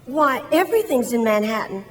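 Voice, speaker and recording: A worldly, wistful woman played by an actress speaks in a somewhat high voice. The delivery is radio drama acting, carried on the long reverb of a hall. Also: very animated, brisk. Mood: wry, wistful. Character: worldly, wistful small-town woman leaving for the city. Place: large church hall.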